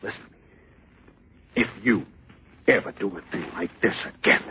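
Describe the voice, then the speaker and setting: An actor playing an anguished, jealous newlywed husband in a tense, confessional 1940s radio style. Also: male voice, fairly low pitch, lively intonation, brisk, a little hiss or hum, natural voice. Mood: anxious, angry. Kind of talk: 1940s radio acting, first-person confessional narration and dialogue. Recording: dull old radio recording, loud music.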